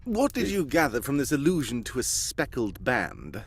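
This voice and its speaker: A wry English detective played by a man questions briskly, his mid-pitched voice sweeping widely up and down. It is old-time radio mystery acting, caught live with faint noise. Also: very animated, close to an everyday voice. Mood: grave, analytical. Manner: wry, inquisitive. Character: brisk, dry, probing English gentleman detective.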